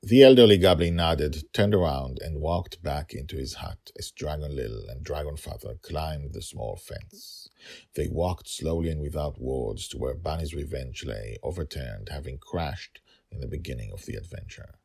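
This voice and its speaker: A middle-aged man narrating a story podcast as its host and author, deliberate, with dramatic pauses and emphatic repetition. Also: very deep voice, animated, vocal fry, darkish tone.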